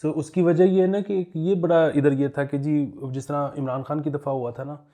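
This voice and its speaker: Chatty, joking Pakistani man, higher-pitched voice. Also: steady tone, normal speed, warm tone. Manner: anecdotal, joking, chuckles while talking. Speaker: podcast co-host. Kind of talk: casual humorous current affairs chat.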